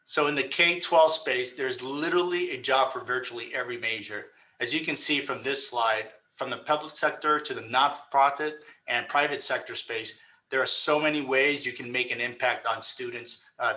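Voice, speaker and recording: A man from South Florida talks on a career panel over a video call, earnest and grateful. His voice is bright and forward, with a middling pitch and a few uhs between thoughts. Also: steady tone, normal speed, rough recording. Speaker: school district leader, panelist.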